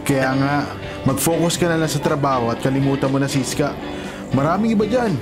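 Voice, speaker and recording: An actor playing a protective, teasing cousin who works as a driver in a Filipino radio drama, brotherly and matter-of-fact. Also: male voice, medium pitch, a little fast, lightly acted. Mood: advising. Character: protective, teasing male cousin, family driver showing newcomer around. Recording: loud music bed behind dialogue.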